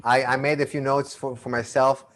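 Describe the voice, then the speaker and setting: A man's voice: mid-range voice, flat delivery, normal speed, fairly bright tone. A playful man with an accent from Argentina and Israel hosts an online mentalism act over a video call. He chats with his volunteers, stumbling over restarts as he goes. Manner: chatty, playful, stammering restarts. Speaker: magician and mentalist. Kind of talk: live online magic show patter.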